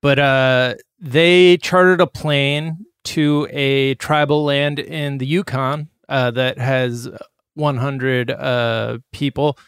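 A man's voice: mid-range voice, steady tone, takes it slowly, fairly bright tone, smooth, dry recording, clean studio sound. A man co-hosting a casual podcast, relaying a news story with many hesitations. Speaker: podcast co-host.

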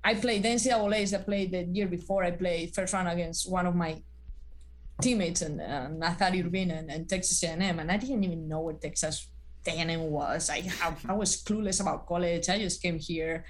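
A woman in her thirties, a former college athlete, telling stories as a guest in a casual interview, halting with many fillers and restarts. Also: on the low side.

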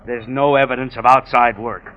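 An actor playing an overworked, sarcastic police sergeant in a vintage radio drama, curt and impatient. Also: male voice, mid-range voice, fairly even intonation, normal speed, lightly acted. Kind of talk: 1940s radio acting. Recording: muffled old radio broadcast.

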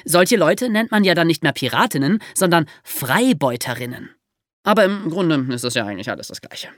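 A woman hosts and narrates history for young listeners. Her voice sits a little low and swings expressively as she explains. The sound is close and dry. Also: animated, a little fast, no room sound. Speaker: podcast host telling history.

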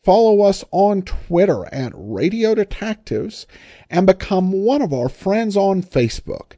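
A man with a slightly high voice talks informally as the solo host of a podcast. His pitch moves around in a lively way, while his delivery is hesitant and self-deprecating, with frequent pauses. The sound is dry, with very little room in it. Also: dry recording.

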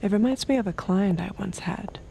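An actress playing an enigmatic, detached young escort in an audio drama, soft and measured, with a naive, dangerous edge. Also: female voice, mid-range voice, gravelly, slight background noise, natural voice. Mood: pensive, intimate. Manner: soft, measured, sparse short replies. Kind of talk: first-person audio drama narration and dialogue.

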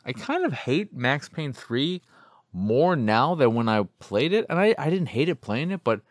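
A man's voice: medium pitch, big pitch swings, measured pace, dry recording, clean studio sound. Rambling, jokey man hosting a solo podcast. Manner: rambling, self-interrupting, jokey. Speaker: podcast host. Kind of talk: casual solo podcast talk about video games. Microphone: close podcast microphone in a dry space.

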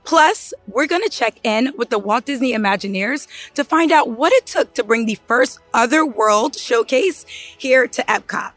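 A woman hosting a podcast, bubbly and excitable, her voice hoarse from screaming. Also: fairly high pitch, moderate pace, faint music behind. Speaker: podcast host.

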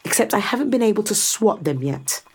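A woman's voice: medium pitch, sing-song, fairly quick, breathy edge. A woman playing a powerful, calculating executive in a science fiction audio drama, sardonic and controlled as she persuades and explains. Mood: mocking, irritated.

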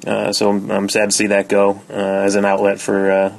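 A man's voice: fairly low pitch, completely flat delivery, normal speed, gravelly. A man giving an informal, slightly hesitant weekly update as a server admin on a podcast. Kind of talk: casual podcast segment report.

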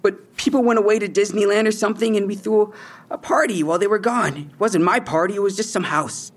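A young man with a husky voice talks in slangy, defensive bursts. He postures as tough and dodges questions, with fear underneath, in a naturalistic audio drama. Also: sits high, fast. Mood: evasive, defensive. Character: defensive young robbery suspect, tough talk hiding fear.

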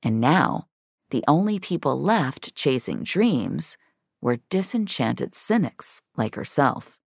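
A woman narrates scripted fiction in a very deep voice. There is a gravelly creak to it, and the sound is close and dry. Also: big pitch swings, slow pace, no echo.

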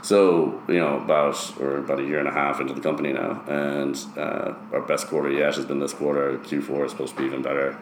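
Very deep-voiced man in a roomy space. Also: flat delivery, brisk, few pauses. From Ireland, later years in the US. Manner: explanatory, often checks with "right?". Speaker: interview guest, startup founder. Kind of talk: conversational interview answers. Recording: roomy echo, faint background noise.

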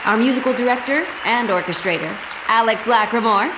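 A woman playing an effusive, theatrical Broadway director giving a gushing, grandiose speech with dramatic pauses. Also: on the high side, natural voice. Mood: grandly grateful. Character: effusive, theatrical female Broadway director addressing an opening-night crowd. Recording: echoey stage microphone with noisy crowd.

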